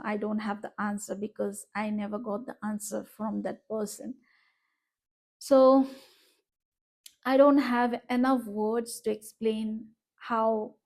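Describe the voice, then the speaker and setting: Slow, hesitant Indian woman vlogging. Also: fairly high pitch, vocal fry, darkish tone. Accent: Indian English. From Northeast India. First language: Bengali. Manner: reflective, hesitant, many fillers. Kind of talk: personal vlog monologue. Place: her room in an apartment.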